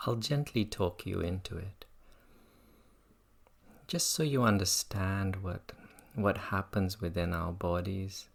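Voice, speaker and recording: A meditation teacher gently guiding listeners through a practice in an unhurried way. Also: deep voice, creaky. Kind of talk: guided meditation talk.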